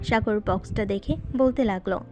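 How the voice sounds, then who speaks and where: A woman's voice: mid-range voice, normal speed, darkish tone. A woman narrating a scripted story as its narrator. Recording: voice over background music.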